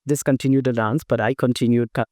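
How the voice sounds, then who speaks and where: A man's voice: mid-range voice, fairly even intonation, fast, dark tone, no room sound, studio quality. A middle-aged man from Guyana, a dancer and interview guest, telling his story thoughtfully with frequent fillers and restarts. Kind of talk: conversational interview answers.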